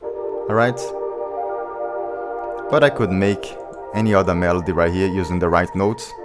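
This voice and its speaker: A man with a low voice, a music producer walking through a beatmaking tutorial step by step in slightly non-native English. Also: a little slow. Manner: plain step-by-step explaining, non-native grammar. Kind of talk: casual screen-recorded tutorial. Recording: beat music playing behind the voice.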